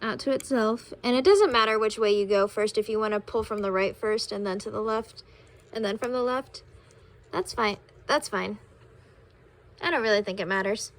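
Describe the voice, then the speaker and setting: A young woman with a tired, raspy voice, casually talking through a craft tutorial step by step while she works. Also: mid-range voice, moderate pace. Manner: relaxed, talks while working with hands.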